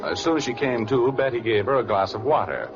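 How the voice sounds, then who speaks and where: A man's voice: mid-range voice, expressive, fairly quick, lightly acted. A man playing a wisecracking, slangy private investigator in a 1940s-style noir radio drama. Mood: brisk, matter-of-fact. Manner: slangy, wry. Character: hard-boiled, wisecracking male private investigator. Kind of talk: 1940s radio acting, noir first-person narration. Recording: dull old radio recording, loud music.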